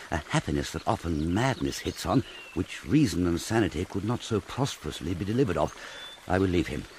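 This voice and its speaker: An actor playing a fussy, scheming old courtier with an obsequious, deferential manner in a Shakespearean radio drama. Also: male voice, low voice, slight background noise. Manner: obsequious, muttering asides.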